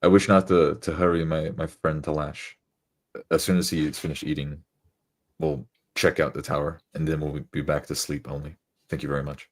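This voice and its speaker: A courteous, gentle male adventurer, played by a man in a tabletop fantasy game. The voice is extremely deep, with halting, formal phrasing. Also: flat delivery.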